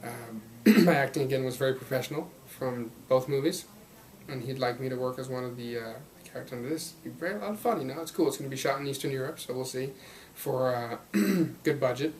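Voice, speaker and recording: A man's voice: mid-range voice, a little fast, a little mellow, rough recording. A young man in his twenties, raised partly in Belgium, talking about his acting career as a guest in an interview. He speaks in an upbeat, rambling way, laughing often. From Belgium and Los Angeles. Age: 20s. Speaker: actor guest. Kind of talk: casual interview talk.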